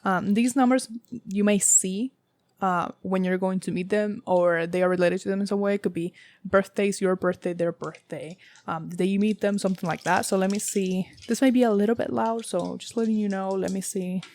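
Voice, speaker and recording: A young woman with a raspy, sore-throated voice, giving a casual tarot reading in a rambling way, full of fillers and the odd swear word. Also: mid-range voice, fairly even intonation, normal speed, a little mellow, a little hiss or hum. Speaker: tarot reader.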